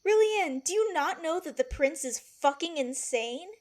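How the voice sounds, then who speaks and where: A woman's voice: very high-pitched, expressive, a little slow. A woman acting a feisty, protective palace servant in an audio drama, blunt and emphatic. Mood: shocked, exasperated.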